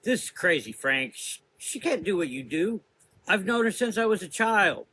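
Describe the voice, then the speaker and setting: A man's voice: high-pitched, sing-song, moderate pace, bright, forceful tone, slight background noise, pitched above the performer's own voice, full character voice. A man voicing a blunt, bewildered and protective young woman in a gothic audio drama, speaking colloquially. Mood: alarmed.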